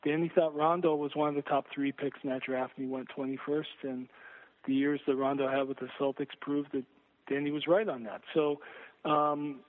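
A man speaking as a guest sports journalist in an interview, hesitant and measured as he talks. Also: on the high side, flat delivery. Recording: thin phone-like line.

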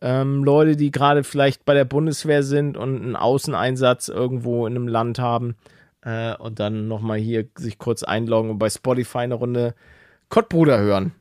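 A man's voice: medium pitch, steady tone, normal speed, darkish tone, dry recording. Man chatting casually, dry close sound. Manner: joking banter in colloquial slang. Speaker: podcast co-host. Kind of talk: casual chat.

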